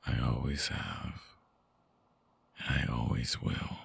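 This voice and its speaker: A man voicing a lovesick vampire in intimate ASMR roleplay, husky and breathy, often dropping toward a whisper, halting, tender and earnest, close on the mic. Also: a bass voice, fairly bright tone, slight background noise, exaggerated voice. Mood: tender, devoted. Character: lovesick male vampire returning to his lost lover. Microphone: close binaural microphone.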